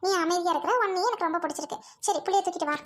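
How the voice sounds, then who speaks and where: A woman voicing a sharp-tongued older mother-in-law in a family skit, scolding and teasing by turns. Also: extremely high pitch, rapid-fire. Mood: bossy, fond.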